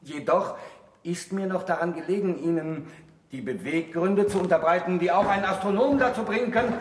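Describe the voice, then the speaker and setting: An actor playing an earnest, troubled young monk in a German radio play, speaking formally and deliberately. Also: male voice, high-pitched, natural voice. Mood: earnest, restrained. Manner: formal, deliberate, rhetorical delivery. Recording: echoey room, old radio play.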